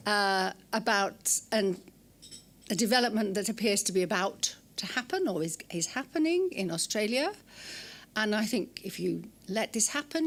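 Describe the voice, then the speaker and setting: An older woman from England giving an academic lecture in a lecture room, forthright and blunt, with frequent ums and restarts. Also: mid-range voice. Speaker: guest lecturer. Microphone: hand mic.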